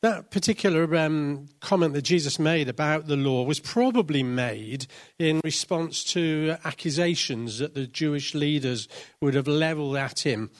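Thoughtful man preaching a sermon. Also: a little high. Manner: thoughtful, explanatory, with many restarts and ums.

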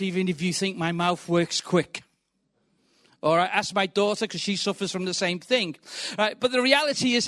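Middle-aged Liverpool man, high voice, dry close sound, quick joking guest preacher. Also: fairly bright tone, dry recording. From England. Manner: quick, chatty, joking, punctuates with "right". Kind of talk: sermon.

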